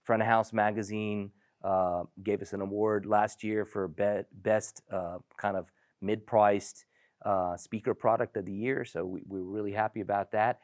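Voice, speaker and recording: A man with a low voice hosts a product discussion and leads it along with enthusiasm. His voice is recorded dry and close, with little room sound. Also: flat delivery, measured pace, darkish tone, no echo. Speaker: host.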